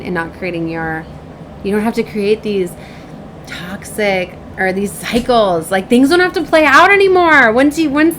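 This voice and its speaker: A woman with a fairly high voice speaks informally to her viewers in the role of a spiritual reader. She rambles in an effusive way and keeps tagging her thoughts with little checks for agreement. Some light noise sits behind her voice. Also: fairly bright tone. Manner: effusive, rambling, often seeking agreement with tag questions. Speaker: spiritual reader and self-described shaman.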